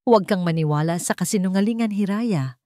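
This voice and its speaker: A woman voicing a calm, firm figure of authority in a dramatic story. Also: mid-range voice, a little fast, dry recording, studio quality, natural voice. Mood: firm, urgent. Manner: steady, commanding.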